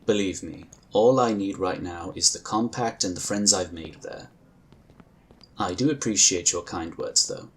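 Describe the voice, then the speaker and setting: A man playing a calm, diplomatic male inquisitor who talks in a measured, courteous way. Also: low voice, a little hiss or hum. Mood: friendly. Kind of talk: fan audio drama acting.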